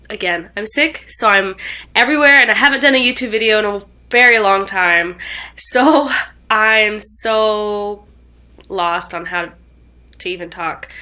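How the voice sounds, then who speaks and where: A woman's voice: mid-range voice, pushed and bright. A young woman with a stuffed-up voice from a cold, rambling through a casual vlog update with lots of ums and self-corrections. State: tired. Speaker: vlogger.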